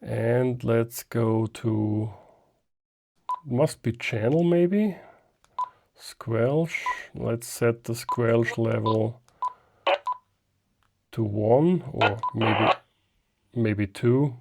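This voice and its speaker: A man's voice: mid-range voice, extremely slow, vocal fry, long pauses, noisy background. A man, a German speaker, giving a casual unscripted hands-on gadget demonstration and thinking aloud step by step. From Austria. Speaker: ham radio reviewer.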